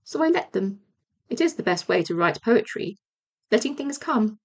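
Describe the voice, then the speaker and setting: A woman's voice: mid-range voice, sing-song, normal speed. A woman voicing a thoughtful, simple, kindly toy bear in a storybook, musing in sing-song verse. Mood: explaining.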